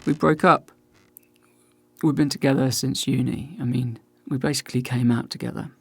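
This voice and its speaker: A man's voice: mid-range voice, fairly even intonation, moderate pace, gravelly, fairly bright tone, breathy edge, no room sound. Radio play: male actor as self-deprecating man turning forty, awkward and talkative, naturalistic delivery, very dry sound. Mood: wistful. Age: about 40. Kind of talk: naturalistic radio drama acting.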